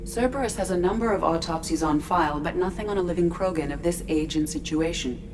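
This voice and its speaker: An actress playing a calm female ship computer in a video game, precise and detached in delivery. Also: fairly low pitch, fast talker, natural voice. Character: calm, informative female ship artificial intelligence. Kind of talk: cinematic game acting. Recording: clear background noise.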